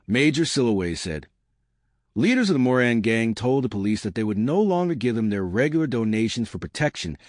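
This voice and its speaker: A man's voice: lowish voice, no room sound, clean studio sound. A man reading old newspaper reports aloud as scripted news narration.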